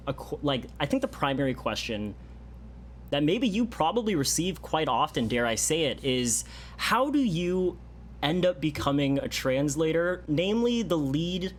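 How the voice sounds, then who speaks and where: Man, interviewer, slightly high voice, hesitant rambling questions, faint hiss. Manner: hesitant, self-correcting questions. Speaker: interviewer, channel host. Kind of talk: casual interview chat. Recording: faint background noise.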